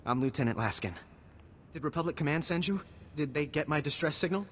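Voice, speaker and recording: A man's voice: on the high side, lively intonation, a little fast, lightly acted. Man acting as anxious young-sounding lieutenant, echoing hall. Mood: worried, pleading. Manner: urgent, faltering, pleading. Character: anxious, earnest male army lieutenant at besieged outpost. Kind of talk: scripted video game cutscene acting. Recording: long reverberant hall, faint background noise.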